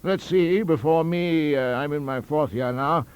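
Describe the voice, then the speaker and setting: A man's voice: fairly high pitch, unhurried, clear, resonant tone, voice pushed up, lightly acted. A man voicing a fussy, delicate college master in a ghost story, with a hesitant, complacent, rambling manner.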